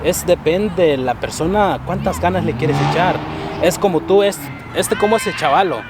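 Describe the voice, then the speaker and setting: Chatty bilingual vlogger outside in traffic. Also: male voice, sits high, moderate pace. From abroad, came to the US at fifteen. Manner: switches between Spanish and English, laughs often. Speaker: vlog host. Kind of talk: casual street interview chat.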